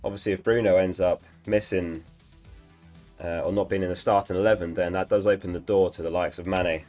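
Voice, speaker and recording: Male live-stream host, slightly lost voice, low register, casual and chatty. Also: fairly even intonation, brisk, darkish tone, faint music behind. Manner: reads out chat messages, chatty and upbeat. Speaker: livestream host.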